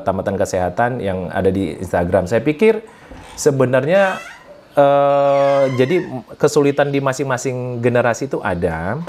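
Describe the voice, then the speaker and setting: A man's voice: medium pitch, a little mellow. Middle-aged Indonesian man giving informal talk. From Sumatra, Indonesia. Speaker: webinar guest speaker on entrepreneurship.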